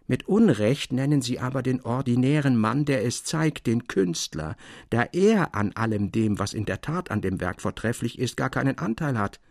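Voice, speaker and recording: Storybook part: polite old gentleman, played by a male narrator, formal and knowing, creaky voice, dry studio sound. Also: medium pitch, animated, moderate pace, no room sound. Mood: courteous, earnest. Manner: courteous, formal, old-fashioned.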